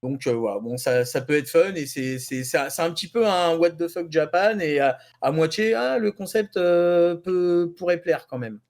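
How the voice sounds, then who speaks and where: A man's voice: fairly high pitch, moderate pace, few pauses, a little mellow. A man giving news as a columnist in a rambling, slangy, jokey way. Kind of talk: casual chat.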